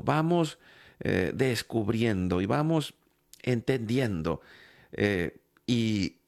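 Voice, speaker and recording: A man's voice: mid-range voice, gravelly. A man hosting a faith program, telling a personal story in a reflective, hesitant way with many fillers and restarts.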